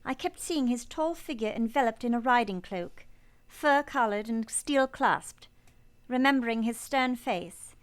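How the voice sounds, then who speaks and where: An actress playing a composed, earnest young governess in a radio play, speaking in a measured, formal storytelling way. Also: female voice, a little high, animated, clear, resonant tone. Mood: reflective, measured. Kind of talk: first-person narration and dialogue in radio play.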